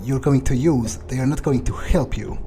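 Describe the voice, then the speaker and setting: Guy doing a cocky vampire in a game, cold and sure of himself, game music going loud behind. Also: medium pitch, normal speed, natural voice. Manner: boastful, cold and self-assured. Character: arrogant, power-hungry male vampire. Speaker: roleplaying game player. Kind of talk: in-character roleplay over gameplay.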